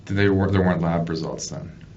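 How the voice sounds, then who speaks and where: A man questioning someone as a police detective, speaking haltingly and often repeating himself. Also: low-pitched, a little mellow. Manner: halting, repetitive questioning with frequent acknowledgements. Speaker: police detective interviewing a suspect. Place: indoor police interview room. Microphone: distant room microphone. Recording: distant, muffled, noisy interview recording.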